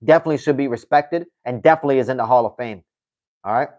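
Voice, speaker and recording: A man's voice: medium pitch, rapid-fire, darkish tone, muffled recording. A man hosting a casual livestream, reading out viewer comments and answering them in a loose, chatty way, often adding "you know what I mean.". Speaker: livestream host.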